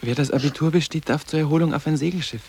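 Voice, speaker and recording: A man's voice: fairly high pitch, quick pace, a little mellow, a little hiss or hum, lightly acted. An actor playing a sarcastic, detached teenage boarding-school boy in a radio play, with terse, ironic and poetic phrasing. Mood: wry, musing. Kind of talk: 1980s German radio play acting.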